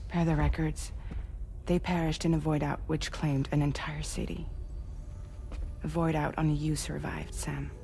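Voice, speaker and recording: Low, creaky, composed briefing woman. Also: level tone, moderate pace, dark tone, a little hiss or hum. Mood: grave, measured. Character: composed, serious adult woman giving a briefing. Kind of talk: video game cutscene acting.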